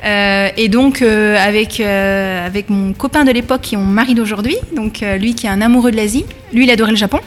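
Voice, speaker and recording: A woman's voice: medium pitch, brisk, hardly any pauses. A woman in her thirties from France, a guest in a radio interview, talking hesitantly with many fillers and restarts. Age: 30s. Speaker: guest, bakery school manager. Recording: long reverb.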